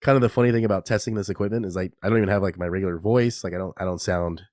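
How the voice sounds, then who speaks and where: A man's voice: low-pitched, steady tone, racing, a little mellow, no room sound, clean studio sound. A young man hosting a casual solo podcast, congested and nasal from a cold, rambling and laughing at himself. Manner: rambling, self-mocking, laughs often. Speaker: podcast host.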